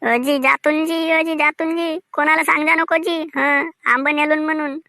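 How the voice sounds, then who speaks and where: A furtive village schemer from a cartoon comedy, played by a woman, with a sharp, fairly high voice and a Vidarbha accent. The delivery is bossy and repetitive in rustic everyday speech, recorded very dry. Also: fairly even intonation, a little slow, dry recording, heavily acted. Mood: pleading. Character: scheming, furtive village man out stealing mangoes.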